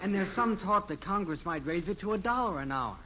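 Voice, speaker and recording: A man's voice: high voice, expressive, brisk, bright, forceful tone. A man playing an eager, wheedling American teenage son in a 1950s radio sitcom, boyish and earnest. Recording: dull, noisy old radio recording.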